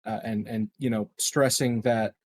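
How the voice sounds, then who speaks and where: A man's voice: on the low side, steady tone, a little slow. A man playing a tabletop roleplaying game, improvising casually and a little hesitantly between table talk and speaking for his character.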